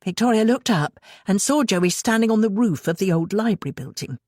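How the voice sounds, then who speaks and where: A woman reading an audiobook as dramatic fiction narration, with expressive, measured storytelling. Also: mid-range voice, no echo, studio quality.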